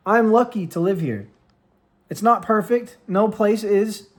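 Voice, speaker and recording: A man in his late twenties from the United States, talking earnestly into a microphone at home in a casual, rambling vlog with many restarts. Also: sits high. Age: 20s. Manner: earnest, rambling, with frequent restarts and trailing pauses. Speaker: vlogger and streamer. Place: room at home with lights, plants and a cat on his lap. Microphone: studio microphone.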